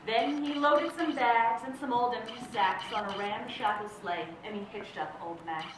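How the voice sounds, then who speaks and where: A woman's voice: on the high side, noisy background. A woman reading a storybook aloud to children in a dramatic, emphatic way, drawing out key words. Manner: theatrical, emphatic repetition and drawn-out words. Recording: echoey room, distant mic, music behind.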